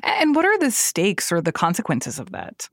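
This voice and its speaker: Low-voiced woman news podcast host. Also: huge pitch swings, normal speed, no echo, studio quality. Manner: conversational questioning.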